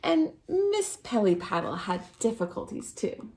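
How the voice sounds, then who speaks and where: A woman reading a children's picture book aloud as a storytime host, expressively, with theatrical, drawn-out emphasis on key words. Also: mid-range voice, very animated, darkish tone, rough recording. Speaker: storytime reader at a dance centre.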